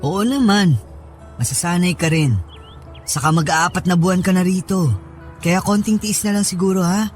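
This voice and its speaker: A man's voice: high-pitched, moderate pace, pauses often, faint music behind, close to an everyday voice. A man playing an affectionate young husband in a radio drama, talking in a casual, coaxing, reassuring way.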